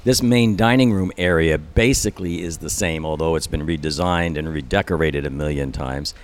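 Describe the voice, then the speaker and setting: A man's voice: very deep voice, moderate pace, slight background noise. A man in his seventies, a guest on a talk show, reminiscing casually in a rambling way with many restarts and asides. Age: 70s. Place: resort dining room.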